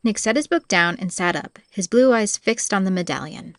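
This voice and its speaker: A woman's voice: mid-range voice, lively intonation, moderate pace, dry recording, clean studio sound. A woman reading scripted fantasy audiobook narration as the storyteller.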